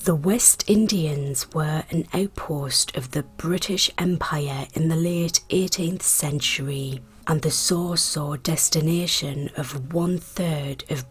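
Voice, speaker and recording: A woman narrates a history script in a low voice. It is very breathy and croaky, often close to a whisper. She reads slowly and in a measured way, as for a documentary. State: croaky voice after a hospital stay.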